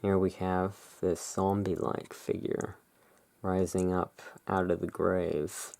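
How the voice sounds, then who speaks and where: A low voice with a lot of fry moves very slowly through a gothic ASMR roleplay. The delivery is hushed and slightly theatrical, shifting between reading cards aloud and relaxed commentary. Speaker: ASMR creator, tarot deck reviewer.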